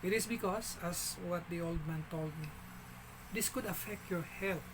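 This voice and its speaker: A man with a Filipino accent telling a story in a casual vlog, halting, with frequent restarts and fillers. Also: high-pitched, noisy background. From Philippines.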